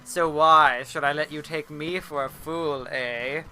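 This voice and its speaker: A greedy, weaselly cobbler from a storybook, as a reader plays him. He wheedles slyly in folksy phrases, stretching his words slowly with a bright edge. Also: medium pitch, steady tone. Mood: grudging, sly. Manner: wheedling, drawn-out folksy talk.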